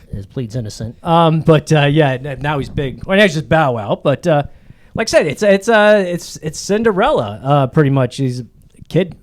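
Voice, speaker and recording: A man in his forties hosting a comedy podcast, talking in a rambling, self-deprecating way with lots of fillers and restarts. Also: mid-range voice. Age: 40s. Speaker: podcast host. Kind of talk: casual comedy podcast chat.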